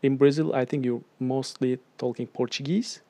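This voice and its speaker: A man's voice: medium pitch, steady tone, normal speed, dark tone, no echo. A man interviewing in a casual chat, friendly, laughing often and hesitating with fillers as he asks his questions.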